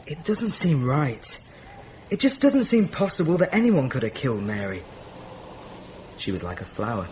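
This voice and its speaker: An actor playing a simple, earnest, wounded young village mechanic in a radio drama, speaking plainly and haltingly. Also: male voice, medium pitch, very animated, darkish tone, a little hiss or hum, lightly acted. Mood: troubled.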